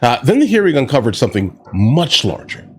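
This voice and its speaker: A man's voice: medium pitch, very animated, fairly quick. A man giving casual, incredulous commentary as a reaction video host.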